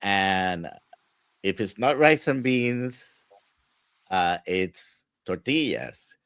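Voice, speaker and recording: A man from Puerto Rico hosting an interview, asking thoughtful, halting questions. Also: low-pitched, lively intonation, a bit bright, clear, resonant tone, no echo. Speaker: host physician. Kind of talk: conversational podcast interview.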